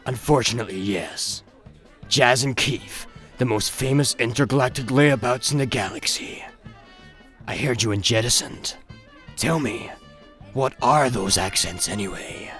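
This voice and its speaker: An actor playing a big, smug, mercenary space hero turned villain, taunting and self-important, in a comedic sci-fi radio play. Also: male voice, mid-range voice, measured pace, fairly bright tone, soft background music, lightly acted. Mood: smug menace.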